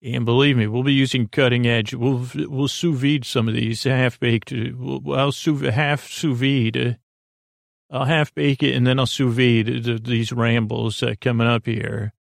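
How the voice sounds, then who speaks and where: A man with a creaky voice hosting a sleep podcast, meandering haltingly through a bedtime monologue and trailing off mid-thought. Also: medium pitch, flat delivery, no echo, studio quality. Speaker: podcast host. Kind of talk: rambling bedtime monologue to help listeners sleep.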